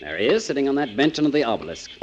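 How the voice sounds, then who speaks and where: An actor playing a wry, sardonic sports writer sleuth with an American accent, in 1940s radio crime drama style. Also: male voice, medium pitch, big pitch swings, rapid-fire, highs cut off, slight background noise, lightly acted. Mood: brisk. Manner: wisecracking, sardonic. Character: wry, breezy, hard-boiled male sports writer sleuth. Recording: old 1940s radio recording.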